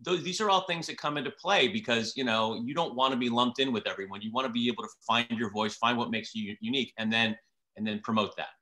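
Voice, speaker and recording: A man's voice: medium pitch, quick pace, pushed and bright. A man in his fifties, a TV producer, explaining things casually on a video call from his living room, telling stories and giving examples. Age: 50s. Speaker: TV producer guest.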